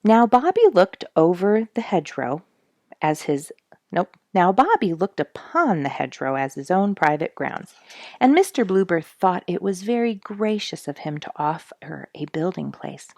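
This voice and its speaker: A woman storyteller reading a children's book expressively, with deliberate pauses and casual asides. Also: lowish voice, very animated, darkish tone, no echo, studio quality.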